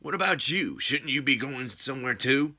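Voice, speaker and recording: A man's voice: lowish voice, expressive, takes it slowly, sharp tone, full character voice. A man voicing a brash, hot-headed soldier rival in a game story, confrontational and indignant. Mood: challenging.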